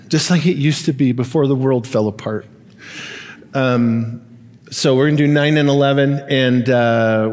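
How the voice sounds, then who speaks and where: A middle-aged American man speaks from a church stage into a headset mic, his voice trailing long reverb through the auditorium. He sits at an ordinary male pitch and preaches in an informal, conversational way, starting and stopping as he goes. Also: darkish tone. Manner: informal, joking asides, stop-and-start phrasing. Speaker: pastor. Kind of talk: conversational sermon and announcements to a congregation. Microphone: headset microphone.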